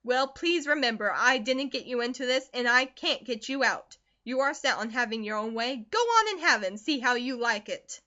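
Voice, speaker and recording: A woman reads aloud and puts on a harsh middle-aged farm mother who scolds her daughter. She is loud, sharp and unbending, with a high, bright tone. The recording is dull and lo-fi. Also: lively intonation, normal speed. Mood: scolding.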